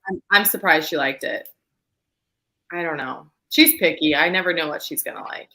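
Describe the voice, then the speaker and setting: A woman with a low voice that still cuts through very brightly. She hosts an informal book discussion in a conversational way, often doubling back on a phrase for emphasis. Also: normal speed. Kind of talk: casual book chat on a live show.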